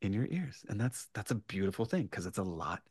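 A man chatting casually as a writer guest on a podcast, in halting bursts with repeated starts and asides. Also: on the low side, big pitch swings, a little mellow, slightly rough, no room sound, studio quality. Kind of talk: animated casual interview chat.

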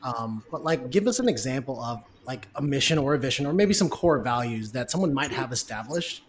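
A man's voice: mid-range voice, animated, fairly quick. Upbeat man hosting a podcast. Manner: upbeat, affirming, asks guests questions. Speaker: podcast host. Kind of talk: interviewing guests on a podcast.

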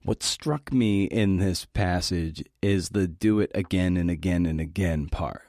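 A middle-aged man with a low voice delivers a prepared talk, dropping in casual asides. He is folksy and encouraging. The sound is close and dry, with little room around it. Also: unhurried, a little mellow, no echo. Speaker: podcast host giving a talk.

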